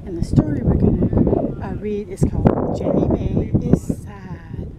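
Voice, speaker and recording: An older woman reads a picture book aloud to children in an expressive, encouraging way, adding her own asides. Her voice sits at a medium pitch. She is recorded outdoors with loud wind and ambient noise around her. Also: measured pace. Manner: expressive, encouraging, speaking to young viewers. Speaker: storytime host. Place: outdoors under trees by a river.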